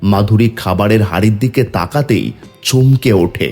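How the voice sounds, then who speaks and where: A man's voice: on the low side, normal speed, darkish tone. A man narrating a scripted cartoon story as its storyteller. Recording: music behind the voice.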